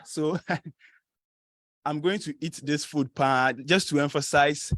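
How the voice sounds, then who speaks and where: A man's voice: on the high side, no room sound. A young man from Ghana with a Ghanaian accent, giving an informal student presentation to an audience, chatty, laughing often and using many fillers. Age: young adult.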